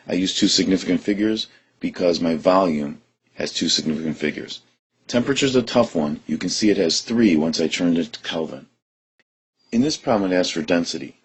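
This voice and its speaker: A man teaching a chemistry lesson and working through gas law problems in a steady, methodical way. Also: deep voice, breathy edge. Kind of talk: recorded lesson explanation.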